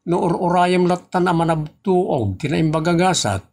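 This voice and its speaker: A man's voice: high voice, expressive, normal speed, gravelly, darkish tone, full character voice. A man with an Ilocano Filipino accent acting a teasing, scolding rural father and husband in a comedy audio drama, with an emphatic, preachy way of talking. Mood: scolding, amused.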